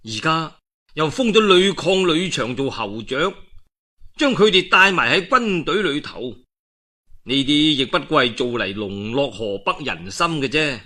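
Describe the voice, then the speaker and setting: Male storyteller as shrewd court strategist: deferential, persuasive, bright and sharp-toned. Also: a little high, expressive, measured pace, full character voice. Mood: wary. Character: scheming male advisor counselling his lord.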